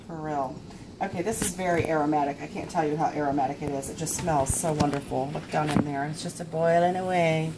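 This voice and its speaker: A woman's voice: sits low, steady tone, a little fast, noisy background. A woman in her forties hosting a casual home cooking show, chatty and full of fillers, recorded on a camera mic in her kitchen. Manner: chatty, filler-heavy, self-deprecating.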